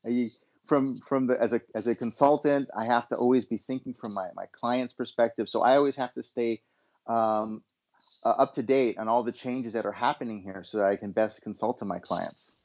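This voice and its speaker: A middle-aged American man from Los Angeles answers interview questions in a measured, mid-pitched voice. He often restarts his phrases, and the sound is dull and lo-fi. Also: steady tone, darkish tone. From Los Angeles, USA. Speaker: guest, education consultant.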